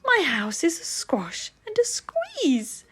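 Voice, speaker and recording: A storybook little old lady, acted out by a woman, fretful and exasperated. Her voice sits very high and swoops wildly, stretching words slowly into dramatic pleas and wails. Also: huge pitch swings, a bit bright, exaggerated voice. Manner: dramatic, drawn-out pleading and wailing. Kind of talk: children's storybook character voice.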